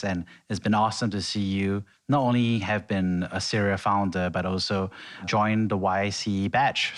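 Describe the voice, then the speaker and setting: A man's voice: on the low side, fairly bright tone. A man hosting an interview podcast, asking curious, upbeat questions. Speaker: podcast host.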